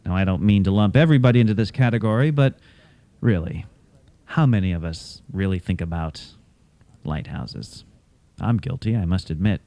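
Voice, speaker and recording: A man hosting a radio theatre show, delivering scripted, literary narration in a measured, dramatic way. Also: deep voice, mellow. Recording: cassette of radio show, music behind.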